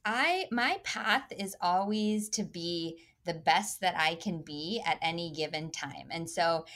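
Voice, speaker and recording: Upbeat woman, low-pitched for her sex. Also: expressive, slow, a bit bright. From Connecticut, US. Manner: upbeat, laughs easily. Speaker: guest. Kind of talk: casual interview chat.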